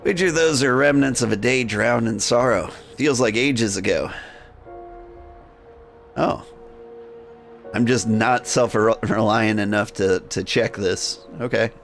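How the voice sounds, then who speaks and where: A man hosting a game livestream, switching between jokey, rambling chat and reading game text aloud in a gravelly voice. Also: medium pitch, takes it slowly, pauses often, a bit bright. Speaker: game streamer and host. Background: music.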